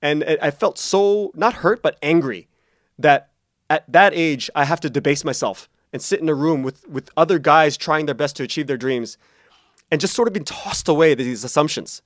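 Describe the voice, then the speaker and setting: Higher-voiced man telling stories in an interview. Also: normal speed, no room sound, clean studio sound. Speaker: interview guest, podcast creator and writer. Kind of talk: conversational interview, storytelling.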